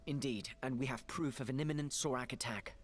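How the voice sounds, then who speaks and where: A man playing a grim, urgent adventurer leading his party in a fantasy game, terse and decisive, with a husky voice. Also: medium pitch, brisk, lightly acted. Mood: resolute. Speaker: game character, leader of adventurers. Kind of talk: fantasy video game cutscene acting.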